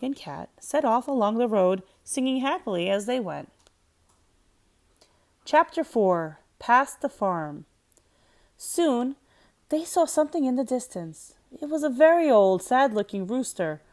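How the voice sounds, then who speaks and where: Higher-pitched woman reading a storybook. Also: sing-song, a little slow, darkish tone. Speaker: teacher reading aloud. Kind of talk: children's storybook narration.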